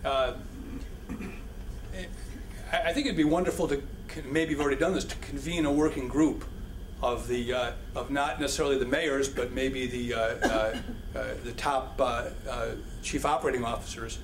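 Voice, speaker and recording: A man answering audience questions at a public policy forum, halting and full of restarts. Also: mid-range voice, steady tone. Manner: halting, many restarts and fillers. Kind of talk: public Q&A talk. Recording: echoey hall with background noise.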